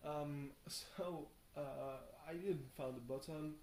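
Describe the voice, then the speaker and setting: Slow, tired young man rambling in echoey room. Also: fairly high pitch, steady tone, darkish tone. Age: young adult. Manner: halting, thinks aloud, self-questioning, chuckles at himself. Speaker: video blogger. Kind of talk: casual rambling video diary. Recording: roomy echo, faint background noise.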